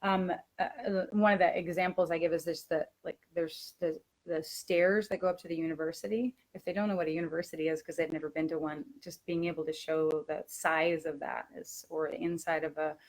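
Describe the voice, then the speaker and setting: Lady teacher on a webinar, kinda low voice, chatty, hammers a point by repeating it. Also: fairly even intonation, fairly quick, hardly any pauses. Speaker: language teacher, webinar co-presenter.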